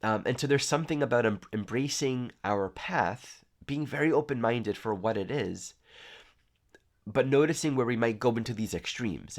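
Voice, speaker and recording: A man with a congested, nasal voice giving a calm, earnest spiritual astrology talk. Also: on the low side. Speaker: astrology teacher. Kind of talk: reflective spiritual talk to camera.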